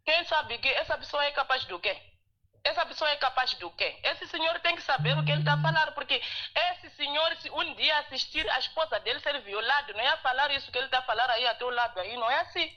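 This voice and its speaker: A woman's voice: sits high, quick pace, sharp tone. A woman caller on a live phone-in show who is not a native Portuguese speaker, arguing her point insistently and repeating herself. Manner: insistent, repetitive. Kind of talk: phone-in call. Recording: muffled phone line.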